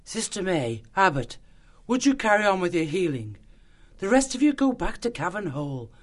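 Constance, a steady, commanding female badger who gives orders and soothes a frightened creature in the same breath, as a man puts her on. The voice is raised high and lively. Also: moderate pace. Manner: calm, authoritative, gentle. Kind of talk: audiobook character voice.

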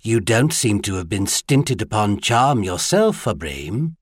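A man voicing a gracious, charming, poised courtesan, softly spoken, in audiobook dialogue. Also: fairly low pitch, sing-song, measured pace, breathy edge, no room sound, clean studio sound, heavily acted.

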